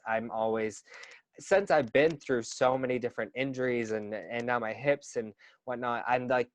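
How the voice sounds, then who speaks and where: A man's voice: mid-range voice, dry recording. Earnest young man, dry close sound. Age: 20s. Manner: earnest, upbeat, lots of "like" and restarts. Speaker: dancer and dance teacher. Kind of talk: casual interview.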